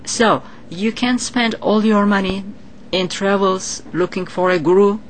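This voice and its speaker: A woman's voice: medium pitch, steady tone, measured pace, slight background noise. A woman from Turkey with a Turkish accent, earnestly explaining her psychic work as an interview guest. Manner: earnest, explanatory, with non-native grammar. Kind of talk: interview answers.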